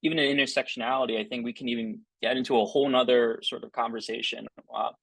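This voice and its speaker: A man's voice: medium pitch, steady tone, fast, creaky, fairly bright tone, husky, no echo, low-quality recording. Thoughtful man moderating a panel. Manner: thoughtful, many fillers. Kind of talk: hosting a panel discussion.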